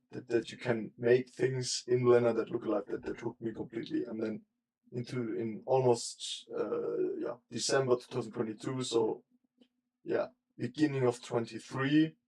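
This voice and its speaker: Flat, mellow-voiced young man rambling. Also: mid-range voice, creaky, slight background noise, poor audio. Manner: rambling and thinking aloud, with trailing sentences. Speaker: streamer. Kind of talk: casual live-stream chat while working. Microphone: desk mic.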